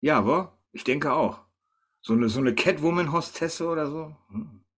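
Chatty male podcast host, slightly higher voice. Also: normal speed, fairly bright tone, husky. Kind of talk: casual podcast banter.

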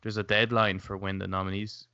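Bright-voiced man musing on a podcast. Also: lowish voice, flat delivery, a little fast, no room sound, muffled recording. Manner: thoughtful, hedging. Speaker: podcast co-host. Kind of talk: casual chat.

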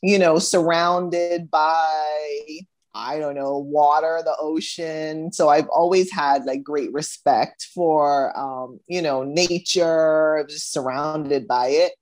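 Middle-aged woman from the Bahamas as an interview guest, lower voice, thoughtful, hesitant. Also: fairly even intonation, takes it slowly, a little mellow. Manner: thoughtful, hesitant, many restarts. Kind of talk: casual interview conversation.

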